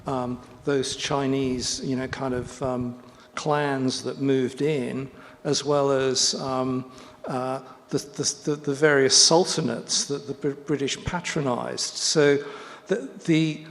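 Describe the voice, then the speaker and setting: Gravelly, halting man, panel moderator, reverberant lecture hall. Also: medium pitch, fairly even intonation. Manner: halting, thinking aloud. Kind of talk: panel discussion.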